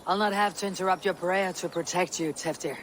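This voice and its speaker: A woman's voice: lowish voice, a little fast, background noise, natural voice. An actress playing a wry, steadfast female Viking chieftain with an assured manner in a game cutscene. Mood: teasing. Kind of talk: video game cutscene acting.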